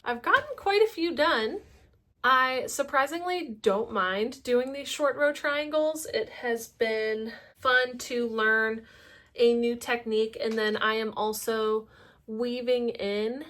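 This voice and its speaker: A woman in her late twenties chatting casually about her crafting projects in a relaxed monthly update, with the odd self-deprecating joke. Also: on the high side, slow pace, far from the mic. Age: late 20s. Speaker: crafting vlogger.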